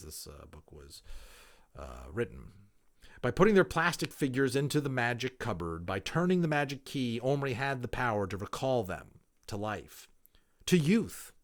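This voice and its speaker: Animated male storyteller with sweeping pitch. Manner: theatrical, emphatic, with deliberate pauses and quieter explanatory asides. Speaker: amateur audiobook reader. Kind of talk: dramatic reading of a children's novel.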